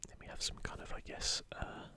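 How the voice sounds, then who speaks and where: A man hosting an ASMR video in a breathy, unvoiced whisper close to the microphone, with hesitant, informal commentary. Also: fairly quick, pushed and bright.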